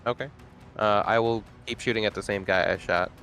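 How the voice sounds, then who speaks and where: A man's voice: on the low side, steady tone, brisk, a bit bright, soft background music. A man playing in a tabletop game, talking casually and hesitantly with many fillers. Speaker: player.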